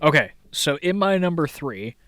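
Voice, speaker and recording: A man's voice: fairly high pitch, sing-song, normal speed, fairly bright tone, no echo, clean studio sound. Blunt, swearing young man. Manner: blunt and profane. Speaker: podcast co-host. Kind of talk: casual sports chat.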